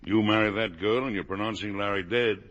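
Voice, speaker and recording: Husky, worried American father. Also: fairly low pitch, a little fast, fairly bright tone, dull audio. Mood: agitated, pleading. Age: middle-aged. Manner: folksy, colloquial, emphatic. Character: worried, pleading working-class American father. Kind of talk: 1950s radio drama acting. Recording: old 1950s radio broadcast.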